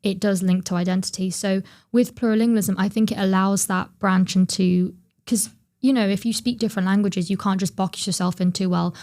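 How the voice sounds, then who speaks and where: A woman's voice: mid-range voice, steady tone, brisk, hardly any pauses, darkish tone. A young woman co-hosting a student podcast, thinking aloud in casual discussion with many fillers.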